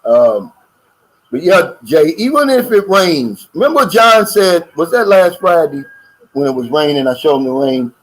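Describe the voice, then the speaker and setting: A man's voice: on the high side, sing-song, measured pace, poor audio. An older American man co-hosting a casual livestream chat and reading out viewer comments in a folksy, drawling way. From United States. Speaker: co-host of a livestream.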